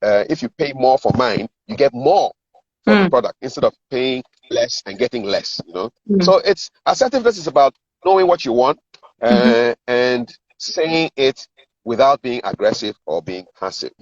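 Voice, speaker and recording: Man, middle-aged, Ghanaian accent, raspy voice, very varied intonation, conversational coaching, dull lo-fi recording. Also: medium pitch, sing-song, moderate pace. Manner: explanatory, acts out sample dialogue, repeats words for emphasis. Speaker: communications and sales coach giving advice. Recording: roomy echo, dull lo-fi sound.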